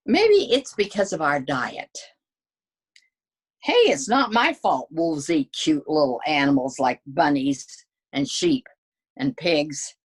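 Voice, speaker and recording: Woman reading as husky, animated, self-justifying storybook wolf. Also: low voice, exaggerated voice. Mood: defensive, matter-of-fact. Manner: conversational, protesting his innocence. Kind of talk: dramatic children's storybook reading.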